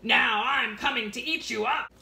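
A woman's voice: on the high side, moderate pace, creaky, bright, forceful tone, echoey room, heavily acted. A woman voicing a mean old troll, blustering and menacing in a storybook reading. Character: mean old troll under a bridge, greedy and gruff.

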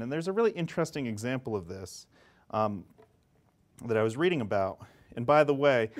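A man in his forties lectures a class from the front of the room, animated and chatty, with quick asides to his listeners. His voice sits at an ordinary middle pitch and comes through clean on a clip-on mic. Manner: animated, gesturing, conversational with asides. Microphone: lapel mic.

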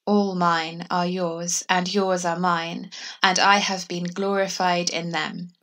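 Woman reading the gospel in a flat, low voice. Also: slow, recorded from a distance. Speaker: gospel reader. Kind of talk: even, measured scripture reading.

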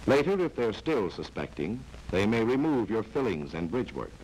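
A man's voice: lowish voice, big pitch swings, normal speed, darkish tone. A man giving wry, dramatized 1940s film narration, speaking directly to a character. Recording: noisy vintage 1940s film soundtrack.

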